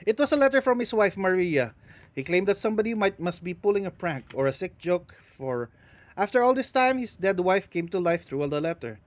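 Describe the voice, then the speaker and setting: A male streamer reads ghost stories aloud on a live stream, with dramatic pacing broken by casual asides. He mumbles and stumbles over words now and then. His voice is a little high for a man, and it comes through a close desk mic with a dry sound. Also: dry recording.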